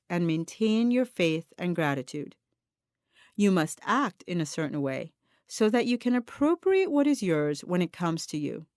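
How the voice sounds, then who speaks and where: A woman reading a self-help audiobook as scripted narration, in a measured, deliberate, instructive manner. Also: medium pitch, a little mellow, no echo, clean studio sound. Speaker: audiobook reader.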